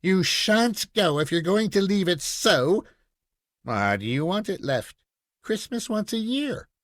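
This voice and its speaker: A man's voice: sits high, sing-song, takes it slowly, fairly bright tone, pitched above the performer's own voice, heavily acted. A man voicing an eager, insistent little girl in a storybook, with pleading, childlike questions.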